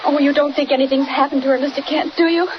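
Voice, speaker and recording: A very noisy, dull vintage radio recording carries a high, plaintive young American woman played by an actress. She is a trusting, fretful victim of a swindle, voiced in 1940s melodrama style. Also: steady tone, fast, lightly acted. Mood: worried, regretful. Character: distraught, trusting young woman, cheated park owner.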